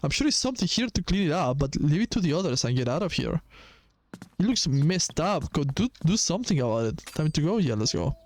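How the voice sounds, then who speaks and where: High-pitched man commentating on a game. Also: very animated, a little fast. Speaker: gaming video host. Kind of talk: casual game playthrough commentary, reading dialogue aloud. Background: music behind the voice.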